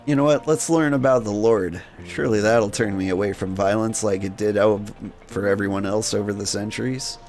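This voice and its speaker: A man's voice: on the low side, steady tone, a little fast, darkish tone, soft background music. A man giving casual, wry and sarcastic commentary while hosting a game playthrough. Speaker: gameplay host.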